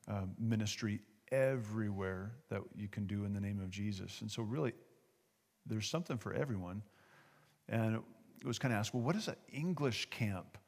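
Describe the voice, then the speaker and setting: In a reverberant hall, an American man presents to a church gathering in a fairly low voice. His delivery is informal and conversational, folksy and a little halting. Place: large hall, speaking to a gathering. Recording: echoey hall with long reverb.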